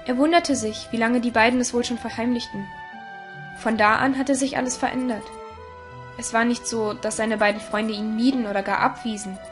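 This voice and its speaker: A woman's voice: on the high side, fairly even intonation, normal speed. A woman narrator reading a scripted audio story. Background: music.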